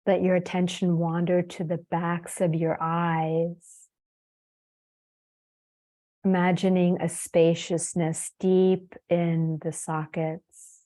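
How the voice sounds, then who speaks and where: A woman leading a guided meditation as a yoga teacher, soothing and unhurried, leaving long pauses between phrases. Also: lowish voice, level tone, warm tone.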